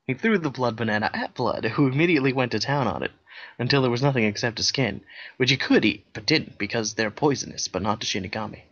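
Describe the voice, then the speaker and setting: A man narrating a comic fan-fiction story in a deadpan way, with casual asides, on a slightly dull recording. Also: mid-range voice, fast. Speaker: co-reader, narrator.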